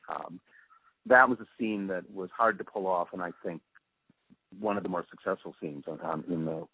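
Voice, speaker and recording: A man being interviewed, giving reflective, halting answers as he thinks aloud through technical explanations. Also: sits low, vocal fry, breathy edge, no echo. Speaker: interviewee, radio drama post-production supervisor. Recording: muffled narrow phone-like line.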